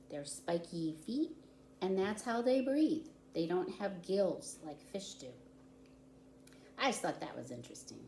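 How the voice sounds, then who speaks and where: Slow-speaking woman at children's story time. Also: on the low side, mellow. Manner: simple, explanatory, addressing small children with questions. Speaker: volunteer story reader for young children. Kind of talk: children's story time, read-aloud with chatty asides. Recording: roomy echo with audible background noise.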